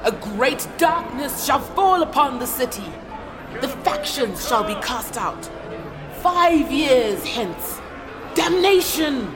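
An actress playing a grim, passionate prophet of doom, declaiming portentously in a fantasy audio drama. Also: female voice, high voice, expressive, slow. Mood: ominous, fervent. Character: grim, passionate female doomsaying prophet orator. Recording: crowd and music behind voice.

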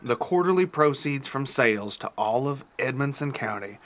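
An actor playing Deputy Mallard, a cautious, placating Southern treasurer with a Southern accent. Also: male voice, mid-range voice, lively intonation, moderate pace, a bit bright, a little hiss or hum, natural voice. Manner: hedging, placating.

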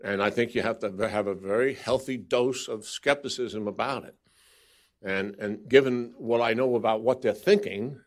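A man, a government official, answering questions at a press briefing in a measured way, restarting his sentences now and then. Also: on the low side.

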